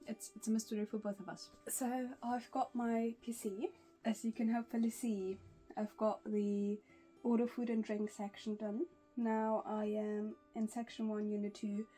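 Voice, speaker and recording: Chatty young German woman vlogging. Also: medium pitch. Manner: chatty, with frequent restarts and small laughs. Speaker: language-learning vlogger. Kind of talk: casual vlog talk to camera.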